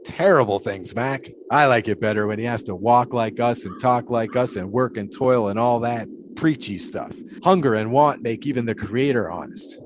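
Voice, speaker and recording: An actor playing a pious, philosophical 1920s flagpole sitter who talks in a folksy, preachy way. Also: male voice, medium pitch, noisy background, natural voice. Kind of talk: audio drama acting.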